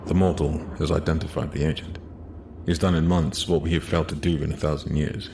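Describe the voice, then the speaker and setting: Very deep-voiced man narrating fantasy. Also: little pitch movement, gravelly, noisy background. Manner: measured, solemn, in character. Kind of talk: scripted fantasy audio drama narration.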